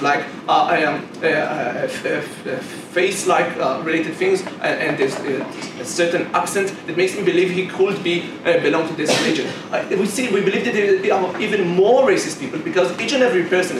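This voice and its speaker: Halting young Israeli man debating, far off. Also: high-pitched. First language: Hebrew. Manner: argumentative, halting with many restarts. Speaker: competitive debater. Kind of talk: debate speech. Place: debate room. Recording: roomy echo, far from mic, noisy.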